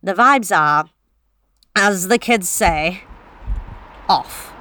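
An actress playing a delivery driver who tells a horror story in the first person, wry and confiding. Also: female voice, mid-range voice, animated, very slow, noisy background. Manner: wry, confiding, self-deprecating. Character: wry, uneasy woman courier.

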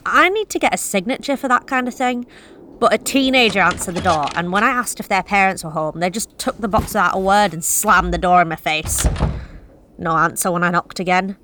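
An actress playing a delivery driver who tells a horror story in the first person, wry and confiding. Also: female voice, medium pitch, brisk, bright, forceful tone, slight background noise, natural voice. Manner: wry, confiding, self-deprecating. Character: wry, uneasy woman courier.

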